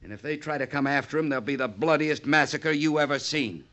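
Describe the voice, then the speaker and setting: Gruff, bitter western rancher, forceful voice. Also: male voice, fairly high pitch, a little fast, soft background music. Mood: angry, defiant. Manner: gruff, commanding, folksy grammar. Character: bitter, stubborn, domineering middle-aged male rancher. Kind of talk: old-time radio western acting. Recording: muffled, noisy vintage radio recording.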